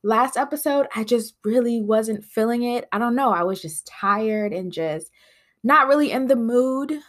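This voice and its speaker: A woman's voice: on the high side, a little slow. Stuffy-nosed woman rambling on her own podcast, nasal and chatty, tons of likes and ums. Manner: chatty, rambling with frequent fillers. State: congested sinuses. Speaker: podcast host. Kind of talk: casual solo podcast chat.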